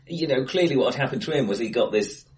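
Chatty man in a bright, echoey room. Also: mid-range voice, lively intonation, fast talker. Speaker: podcast co-host. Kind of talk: casual film discussion. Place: bright-sounding room, face to face.

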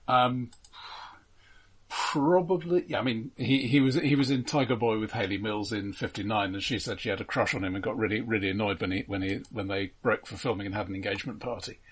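A man co-hosting a casual film discussion, explaining haltingly and often repeating words as he goes. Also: lowish voice, fairly even intonation, husky. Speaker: film podcast host.

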